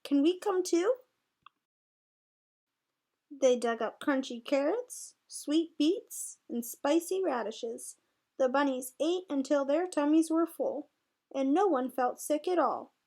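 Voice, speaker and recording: A woman tutor reading a storybook aloud to young children in an expressive way. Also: sits high, measured pace. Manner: expressive, voices the characters' dialogue.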